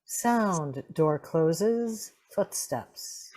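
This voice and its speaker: A woman reading out script sound cues in a deliberate way, as a narrator of stage directions. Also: on the low side, lively intonation, darkish tone.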